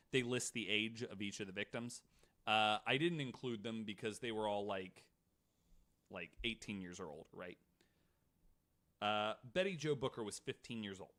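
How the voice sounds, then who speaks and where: A man's voice: mid-range voice, bright, forceful tone. A man hosting a podcast, reading prepared true-crime notes and dropping in casual asides. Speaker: podcast host.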